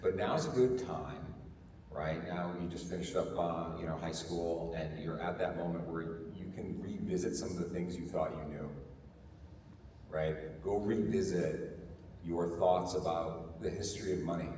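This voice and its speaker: A man's voice: low voice, unhurried, warm tone. A man speaking on a conference panel in a lecturing way, often tagging his sentences with "right?". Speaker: panelist, company CEO. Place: large conference hall stage. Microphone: handheld mic. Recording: echoey hall, distant mic, faint noise.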